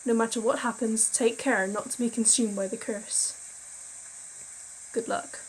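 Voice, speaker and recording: A tired young woman reads a game's eerie backstory aloud, chatting between passages. She trips over words and laughs them off in a slightly high voice. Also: steady tone, a little slow, a little hiss or hum, poor audio. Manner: chatty, self-deprecating, stumbles over words while reading. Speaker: gaming video creator. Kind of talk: reading a visual novel aloud, casual commentary.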